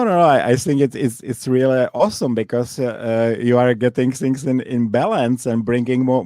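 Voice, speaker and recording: A man interviewing a guest, speaking haltingly with many fillers and repeated words. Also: medium pitch, mellow, no echo, clean studio sound. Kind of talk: casual interview chat.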